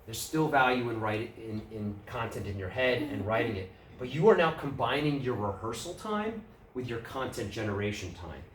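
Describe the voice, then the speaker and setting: A man's voice: mid-range voice, expressive, moderate pace. A man with a New York accent leading a public speaking workshop, talking casually and putting questions to the audience. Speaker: workshop presenter. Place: club meeting room. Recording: roomy, distant mic, faint room noise.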